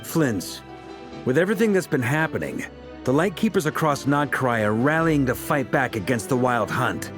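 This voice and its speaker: An actor playing a seasoned, easygoing leader of fighters in a video game, casual and good-natured. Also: male voice, medium pitch, big pitch swings, moderate pace, over music, natural voice. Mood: reassuring. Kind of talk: video game English dub acting.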